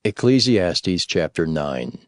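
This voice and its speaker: A man's voice: low-pitched, big pitch swings, takes it slowly, dry recording, studio quality. A man making formal, scripted announcements as a chapter announcer for an audio book.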